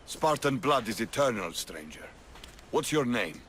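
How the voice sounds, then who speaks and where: A proud, battle-worn Spartan officer, stern and solemn. His mid-pitched voice carries a formal video game cutscene delivery over faint background noise. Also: big pitch swings, a little slow, fairly bright tone. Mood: proud, grave.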